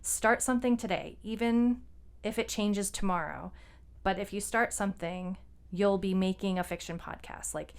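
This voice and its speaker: A woman's voice: mid-range voice, smooth. A woman co-hosting a podcast, talking casually and giving informal, encouraging advice as a writer. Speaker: podcast co-host and fiction writer. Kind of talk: casual conversational podcast talk.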